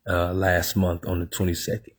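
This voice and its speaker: A man, hosting a live podcast interview in an animated way and laughing often. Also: low-pitched, normal speed. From Plainview, Texas. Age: 30s. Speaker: podcast host.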